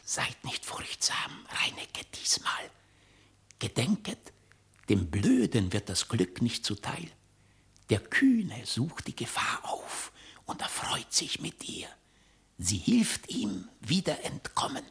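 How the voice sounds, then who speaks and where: An actor playing a loyal badger nephew in a classical verse radio drama, urgent and earnest, with a breathy, husky whisper. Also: male voice, medium pitch, wildly swooping pitch, slow pace, a bit bright, exaggerated voice. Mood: hushed encouragement. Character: loyal badger nephew, urgent, counselling his fox uncle.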